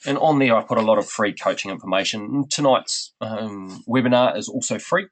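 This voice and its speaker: A middle-aged running coach with a New Zealand accent, blocked up with a head cold, giving a webinar in an unhurried, friendly way with many ums. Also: male voice, fairly low pitch, poor audio. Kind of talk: webinar presentation.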